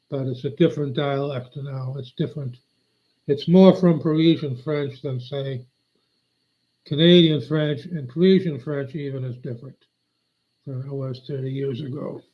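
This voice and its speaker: A man's voice: mid-range voice, steady tone, measured pace. An older man rambling on a live stream, meandering and aggrieved, often losing his train of thought. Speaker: livestreamer. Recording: dull lo-fi audio.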